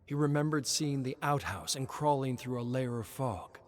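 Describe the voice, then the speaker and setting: A man's voice: medium pitch, normal speed, a little hiss or hum. A man reading a scripted horror story as its narrator.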